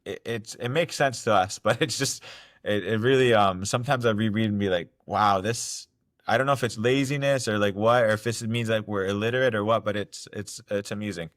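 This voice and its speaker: Young guy from ohio, low voice, rambly with lots of likes and ums, dry close sound. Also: a little fast, fairly bright tone, dry recording. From Ohio, United States. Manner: rambling, many fillers and restarts. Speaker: guest. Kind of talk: casual interview talk.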